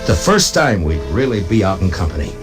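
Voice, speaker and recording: An actor playing a gruff, laconic American frontier marshal in a 1950s western radio drama. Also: male voice, sits low, big pitch swings, brisk, over music. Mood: reflective.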